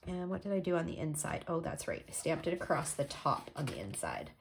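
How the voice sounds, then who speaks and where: A woman's voice: low-pitched, sing-song, a little fast, creaky, very noisy. A woman from Canada explaining a card-making project step by step while she works, a little stuffed up from a cold. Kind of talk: crafting tutorial.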